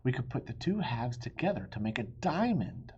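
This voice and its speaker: A man voicing a clever, practical lady who calmly suggests ideas in a children's storybook. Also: mid-range voice, moderate pace, slightly rough, highs cut off. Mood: explaining. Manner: calm, suggesting solutions. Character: clever practical lady, helpful wife of a knight.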